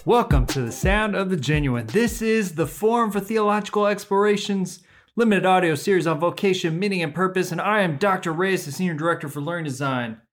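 A man's voice: high-pitched, fairly quick, soft background music. A man hosting an audio series, talking in an upbeat, friendly way. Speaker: podcast host. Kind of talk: podcast interview.

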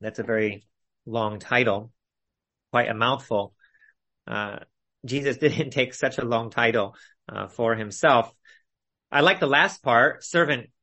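Male Bible teacher, medium-pitched and bright, delivering an explanatory sermon in deliberate, repeated phrases. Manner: didactic, measured phrasing with repetition for emphasis. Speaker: preacher leading a Bible study. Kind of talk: expository sermon with illustrations.

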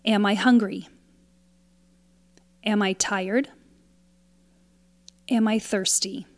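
Female meditation guide: calm, soothing scripted delivery, very unhurried, close and dry recording. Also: mid-range voice, no echo. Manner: calm, soothing, instructional, with long pauses between short phrases.

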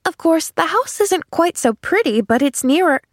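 Woman playing an eager, high-voiced little girl. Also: expressive, normal speed, dry recording, studio quality. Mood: earnest, eager. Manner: gushing, breathless enthusiasm. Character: bright, eager, innocent young girl, bubbling with joy. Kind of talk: audiobook character acting.

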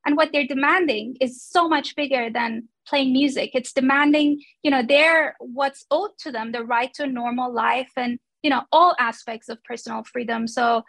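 Woman, from Iran, thirties, interview guest, thoughtful, high-pitched, dry recording. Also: fairly even intonation, normal speed, gravelly, few pauses, fairly bright tone, dry recording. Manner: thoughtful, frequent um and uh. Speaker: guest, company founder. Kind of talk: casual interview.

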